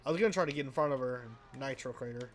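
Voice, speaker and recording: A man casually commenting while he plays a racing game, rambling and self-deprecating, with a flat, slightly nasal voice that trails off into mumbles. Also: medium pitch, rapid-fire, slight background noise. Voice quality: sounds flat and a bit nasal, by his own account. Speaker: let's play host.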